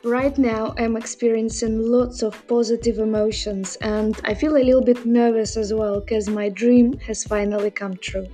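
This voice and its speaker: Eager woman host, fairly high and mellow, over faint music. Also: little pitch movement, normal speed, hardly any pauses. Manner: eager, enthusiastic. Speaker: podcast host. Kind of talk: casual interview chat.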